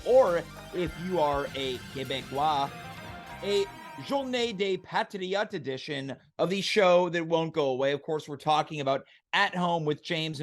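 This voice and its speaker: A man hosting a live online show, talking like an announcer with theatrical emphasis. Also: sits high. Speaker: host.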